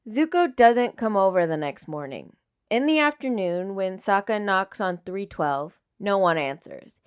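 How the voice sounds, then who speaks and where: A woman's voice: mid-range voice, animated, a little slow, smooth. A woman reading scripted fiction as an audiobook narrator.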